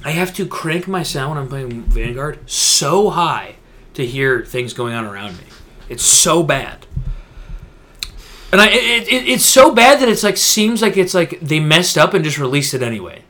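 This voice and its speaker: A man's voice: on the high side, big pitch swings, a little slow, bright, forceful tone. A man co-hosting a gaming podcast, chatting casually in an emphatic, slangy way and often repeating himself for effect. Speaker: podcast co-host.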